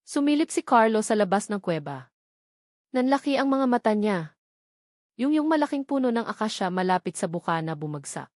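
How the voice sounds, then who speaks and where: A woman's voice: medium pitch, sing-song, brisk, a little mellow, no echo. Woman narrating a dramatic romance, dry sound. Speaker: audiobook narrator.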